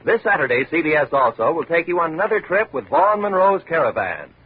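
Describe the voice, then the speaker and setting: A man's voice: a little high, very animated, fairly quick. A man announcing a scripted promo in the style of a 1950s radio broadcast. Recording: dull 1950s radio recording, faint noise.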